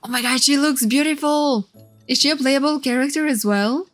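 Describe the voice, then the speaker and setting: A woman's voice: high voice, normal speed. A woman streamer giving casual, gushing, exclamatory reaction commentary. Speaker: VTuber streamer. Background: game trailer music.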